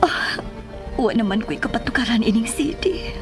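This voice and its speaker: A sentimental, tender Filipino wife voiced by an actress in a Cebuano radio drama. She speaks in a high voice as if musing aloud, with clear music playing behind her. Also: lively intonation, normal speed, natural voice. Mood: wistful, tender. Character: wistful, sentimental married woman recalling an old love. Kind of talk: Philippine radio drama monologue and dialogue.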